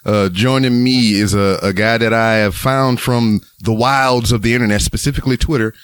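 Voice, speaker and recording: A man hosting a podcast in a relaxed, joking way, swearing casually as he talks. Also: mid-range voice, normal speed, clean tone. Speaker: podcast host.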